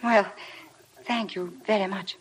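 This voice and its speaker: An actress playing a shy, self-effacing young paid companion in a 1950s radio drama. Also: female voice, medium pitch, steady tone, a little slow, natural voice, rough recording. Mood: shy, flustered. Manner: hesitant, apologetic. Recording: old radio broadcast with music.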